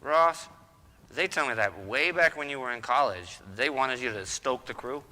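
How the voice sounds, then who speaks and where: Man acting a stubborn radio comedy husband, folksy, boastful, very sharp forceful voice, reverberant noisy hall. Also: medium pitch, animated, moderate pace. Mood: jokey. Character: blustering, stubborn, wisecracking husband, folksy pack rat.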